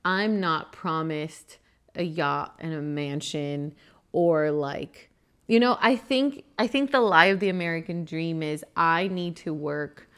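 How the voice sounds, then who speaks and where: Young woman born in Venezuela, earnest podcast co-host with a slightly low voice, casual delivery. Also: fairly bright tone, clear, resonant tone. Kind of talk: casual faith podcast chat.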